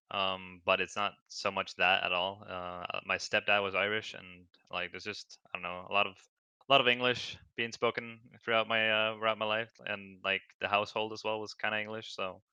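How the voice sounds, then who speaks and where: A man's voice: lowish voice, steady tone, fairly quick, sharp tone, smooth, no echo. A Danish man who speaks English as a second language, an esports player chatting casually in an interview with many hesitations. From Denmark. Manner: hesitant, lots of fillers.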